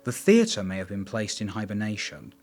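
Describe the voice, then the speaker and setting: A man's voice: fairly low pitch, big pitch swings, moderate pace, a little mellow. A man reading scripted documentary narration.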